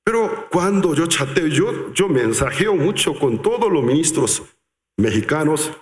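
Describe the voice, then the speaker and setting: A male pastor preaching a sermon, acting out quoted voices and slowing down to stress key words. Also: fairly high pitch. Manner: animated, acts out quotes, slows for emphasis. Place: large reverberant church hall. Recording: echoey church hall.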